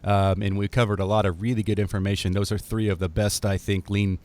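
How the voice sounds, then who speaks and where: A man's voice: lowish voice, a little fast. A man from Ohio hosting a podcast interview, talking casually with lots of fillers and restarts. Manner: relaxed, many fillers and restarts. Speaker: podcast host.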